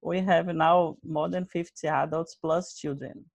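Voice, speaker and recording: Lady telling her faith story, lowish voice, dry close sound, earnest plain english. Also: fairly even intonation, unhurried, vocal fry, darkish tone, no echo, muffled recording. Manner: earnest, simple non-native phrasing. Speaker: interview guest, Bible study leader. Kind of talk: personal testimony in an interview.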